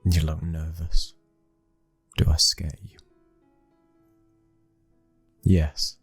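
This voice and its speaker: A British man acting a cold, dominant young mafia heir and protective new husband in an ASMR roleplay, speaking in a low, husky hush, slow and commanding. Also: little pitch movement, faint music behind, full character voice. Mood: cold, guarded.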